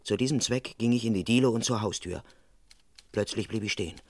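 Actor playing an earnest, deadpan police constable. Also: male voice, fairly low pitch, brisk, a little hiss or hum, lightly acted. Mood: deadpan narrating. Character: earnest, dutiful male police constable, politely flustered. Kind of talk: first-person narration and dialogue in crime radio play.

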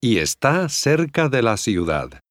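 A man reading scripted phrases for language learners, deliberate and clearly enunciated. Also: mid-range voice, big pitch swings, dry recording, studio quality. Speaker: language course model speaker.

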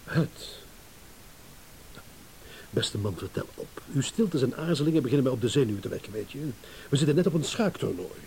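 An actor playing a self-assured, impatient philandering husband in a radio play, sardonic, blunt and mocking. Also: male voice, medium pitch, lively intonation, normal speed, creaky, long pauses, darkish tone, rough recording. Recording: hissy old radio play recording.

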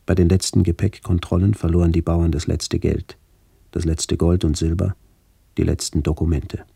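A middle-aged man reading a literary memoir for radio in a measured, sober way, with a gravelly voice. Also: deep voice, level tone, mellow, dry recording. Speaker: author-narrator of a memoir radio play. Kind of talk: scripted literary narration, reminiscence.

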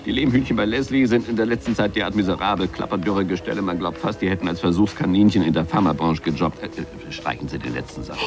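An actor in a radio drama playing a flirtatious, evasive comedian who rambles with playful irony. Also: male voice, low-pitched, fast, hardly any pauses, close to an everyday voice. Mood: flirtatious, joking. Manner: playful, rambling, ironic, stop-and-start hesitations. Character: glib male comedian, flirtatious, wisecracking, evasive under questioning. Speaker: comedian being interviewed. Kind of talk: 1980s German radio drama acting. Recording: music behind the voice.

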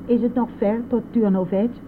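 A woman's voice: a little high, steady tone, moderate pace, lightly acted. Lady playing a stubborn older village mother-in-law, short defensive answers, old radio play, phone-like sound, bit of noise. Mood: curt. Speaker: radio drama actor. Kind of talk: Dutch radio play acting. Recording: tinny narrow-band old radio recording, vehicle noise.

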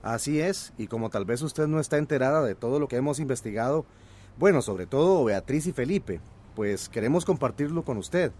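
A man's voice: mid-range voice, expressive, brisk, dark tone, close to an everyday voice. A man playing a practical village son and community organiser in a radio drama. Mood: earnest. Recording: faint background noise, clinking dishes.

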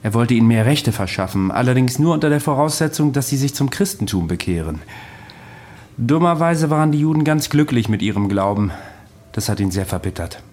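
An actor playing a self-assured man recounting his past in a radio drama, matter-of-fact and measured. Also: mid-range voice, expressive, a little mellow, natural voice. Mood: calm, matter-of-fact. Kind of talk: radio drama dialogue, storytelling.

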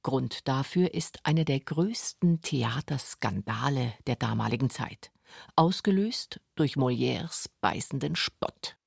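A woman's voice: very deep voice, lively intonation, slow pace, creaky, husky, dry recording, studio quality. A woman narrating a scripted radio documentary.

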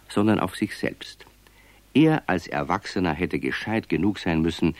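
A man's voice: low-pitched, no echo. A man reading scripted narration for a children's radio story.

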